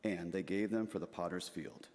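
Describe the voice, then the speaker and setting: A man's voice: low voice, steady tone, moderate pace, a little mellow. A man narrating a dramatic scripture reading in a church. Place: large church sanctuary. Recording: reverberant hall.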